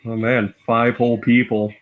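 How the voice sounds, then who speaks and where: A man with an average-pitched voice chats casually as a stream co-host. He keeps his remarks short and jokey, reacting to his partner more than leading. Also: little pitch movement, normal speed, narrow, tinny audio. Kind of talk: casual chat.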